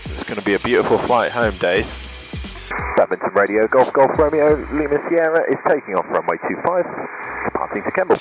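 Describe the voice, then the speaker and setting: A man's voice: mid-range voice, animated, brisk, music in the background, poor audio. A man talking as a pilot, mixing easygoing, jokey casual chat with cockpit radio calls and commentary. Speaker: pilot and vlogger. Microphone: headset. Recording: engine drone, radio headset in small plane.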